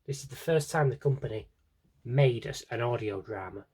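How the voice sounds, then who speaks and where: A man with a husky, slightly rough voice at a middling pitch talks like a casual vlogger. His delivery is unscripted and rambling, dotted with tongue-in-cheek asides. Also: moderate pace, a little mellow. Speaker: vlogger showing an audio drama CD collection. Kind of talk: casual unscripted chat to camera.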